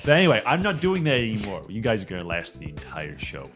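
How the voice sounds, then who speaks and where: Lively low-voiced man game master. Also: quick pace, soft background music. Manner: animated storyteller, acts out quotes. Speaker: game master and show creator. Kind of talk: casual group chat.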